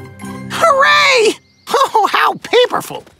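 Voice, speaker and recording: A man voicing an excitable, opinionated little woodpecker in a children's cartoon, with a squeaky, scratchy voice and theatrical, exclamatory delivery. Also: faint music behind, full character voice. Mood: excited. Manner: exclamatory, theatrical, drawn-out words. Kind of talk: children's animated series voice acting.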